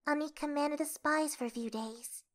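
A woman playing a composed, secretive young princess in a comic dub, speaking softly and formally. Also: high voice. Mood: composed, guarded. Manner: soft, measured, formal. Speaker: voice actor in a fan comic dub. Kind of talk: dramatic comic dub acting.

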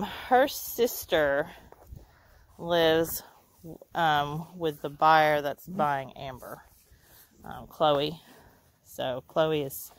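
Slow, chatty woman outdoors. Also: low-pitched, a little hiss or hum, rough recording. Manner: chatty, laughs softly, talks to the goats. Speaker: goat breeder. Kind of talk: casual farm tour vlog. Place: outdoor goat pen on a farm. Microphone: handheld camera.

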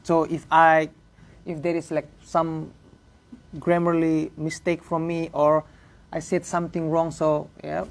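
Indonesian-accented man teaching in halting English. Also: fairly high pitch, monotone, gravelly. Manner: halting English, frequent "yeah" and "uh" fillers. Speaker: religious teacher. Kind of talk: informal lecture to a small class. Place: prayer room, indoors.

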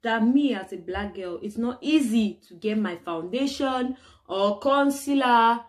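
A young woman from Cameroon telling her own stories to the camera, animated and exclaiming, acting out the people she met. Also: on the high side, unhurried. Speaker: vlogger. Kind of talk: casual personal storytelling to camera.

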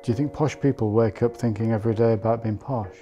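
A man's voice: on the low side, fairly even intonation, fast talker, warm tone, lo-fi sound, soft background music. A man in a to-camera interview, speaking in a dry, thoughtful way.

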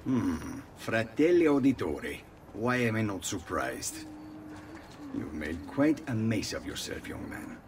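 A man's voice: lowish voice, sing-song, a little slow, crowd noise. An actor playing a dry, weary Italian doctor, a man with an Italian accent, in a video game. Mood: wry. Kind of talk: video game cutscene acting.

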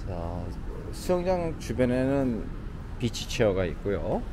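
A man's voice: mid-range voice, very animated, takes it slowly. A man narrating a casual walking tour of a city hotel's outdoor pool, with lots of filler words, recorded on a camera mic outdoors. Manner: conversational, frequent "자" and "뭐" fillers. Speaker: travel vlogger touring a hotel. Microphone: handheld camera mic. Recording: outdoor camera audio with traffic noise. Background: city traffic ambience.

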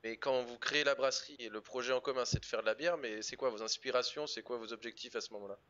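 A man's voice: medium pitch, quick pace, a bit bright. A man in his thirties hosting a casual live interview, informal and joking in the way he talks. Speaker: live stream host, interviewer. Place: indoor room, video call. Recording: dull, lo-fi video call audio.

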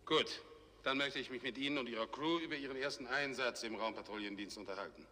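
Actor as stern space general, hard bright voice. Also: male voice, mid-range voice, quick pace, close to an everyday voice. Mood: commanding. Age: middle-aged. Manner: clipped, formal, authoritative. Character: stern, commanding middle-aged male space fleet general. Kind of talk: 1960s German TV science fiction acting. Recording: reverberant, noisy 1960s TV soundtrack.

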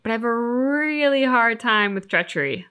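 A woman's voice: on the high side, expressive, normal speed, bright, forceful tone, clear, resonant tone. A woman in her thirties chatting casually as a reaction video host. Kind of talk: casual chat. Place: living room couch, indoors.

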